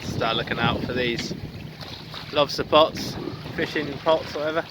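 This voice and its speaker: A man narrating his solo sailing trip in a relaxed, hesitant way with plenty of fillers. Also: medium pitch, a little slow. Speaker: solo sailor vlogging. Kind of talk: casual vlog narration. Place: aboard a small sailing yacht at sea. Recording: loud wind noise on the mic. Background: wind and boat noise.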